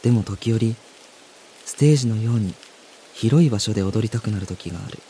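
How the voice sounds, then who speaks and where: Woman playing a low-voiced, blunt teenage boy. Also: a little fast, slight background noise. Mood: wistful, introspective. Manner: flat, curt dialogue and introspective narration. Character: reserved, blunt teenage high school boy, former ballet dancer. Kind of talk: radio drama acting and first-person narration.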